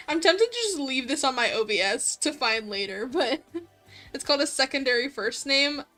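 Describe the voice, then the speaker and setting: A woman with a high, bright voice chats casually to her livestream viewers. Her delivery is giggly, gushing and full of slang, with music playing softly behind her. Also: expressive, a little slow, pauses often. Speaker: livestreamer, host. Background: light background music.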